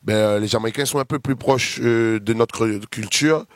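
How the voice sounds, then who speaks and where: A man's voice: mid-range voice, moderate pace, no echo. A man in his thirties from Réunion with a Réunionese French accent, chatting casually as a guest artist and rambling through long lists with many fillers. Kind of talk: casual interview chat.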